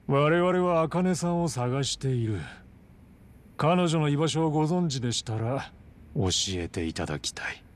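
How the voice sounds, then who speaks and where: A man acting a composed crime boss in a Japanese game dub, courteous and formal in measured, condescending phrases. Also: a little high, expressive. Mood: businesslike, probing.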